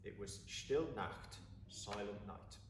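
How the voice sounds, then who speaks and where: A man reading a story aloud with expressive, deliberate, dramatic phrasing. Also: on the low side. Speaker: story reader. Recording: roomy, distant mic, faint background noise.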